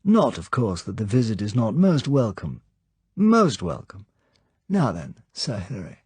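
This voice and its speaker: A man voicing a suave, villainous foreign count in an audiobook, with a light, animated voice and effusively courteous manner. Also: fairly low pitch, unhurried, husky, dry recording, voice pushed up, heavily acted. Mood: effusive, genial.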